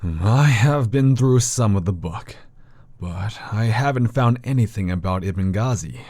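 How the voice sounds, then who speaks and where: Guy doing serious old-school scholar, deep mellow voice, halting and grave, audio drama. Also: lively intonation, no echo, clean studio sound. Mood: guarded, concerned. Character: grave, learned male university doctor guarding occult secrets, guarded.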